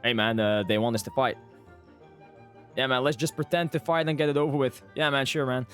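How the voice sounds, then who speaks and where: A man's voice: mid-range voice, fairly even intonation, fairly quick, a bit bright, soft background music. A man giving casual, joking live commentary as a game streamer, often repeating his phrases.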